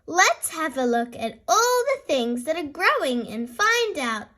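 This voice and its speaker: A woman reads the part of a kindly female gazelle teacher in a children's storybook. She is high-voiced and unhurried, explaining things gently and patiently, with lots of encouraging lilt. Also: sing-song, full character voice.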